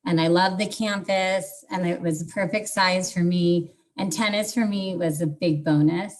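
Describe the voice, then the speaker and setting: A woman in her forties from Southern California, speaking in a measured, thoughtful way with some hesitation as she recalls things. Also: lowish voice, steady tone, rough recording. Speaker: former college tennis player. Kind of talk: casual video call interview.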